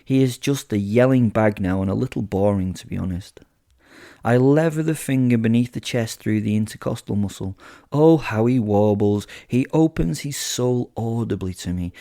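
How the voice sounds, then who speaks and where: A man's voice: on the low side, vocal fry, mellow, no echo, studio quality. A man voicing a sadistic, gleeful werewolf killer who tells horror audio drama in the first person, with flippant, theatrical asides. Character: sadistic, gleeful male werewolf serial killer narrating his kills.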